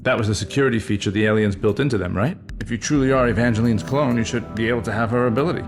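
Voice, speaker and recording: A man's voice: medium pitch, racing, soft background music, close to an everyday voice. An actor playing a casual, skeptical man who questions his partner in a sci-fi audio drama. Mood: questioning. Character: casual, questioning male partner of an immortal woman.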